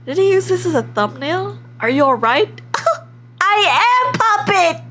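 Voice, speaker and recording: A woman live streaming a game in a casual, excitable, giggly way, reading text aloud and reacting expressively as she goes. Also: extremely high pitch, unhurried, noisy background. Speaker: livestream host.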